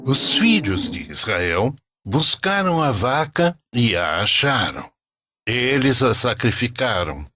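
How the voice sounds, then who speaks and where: A man narrating a scripted religious story for an animated film. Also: medium pitch, slow pace, no room sound, studio quality.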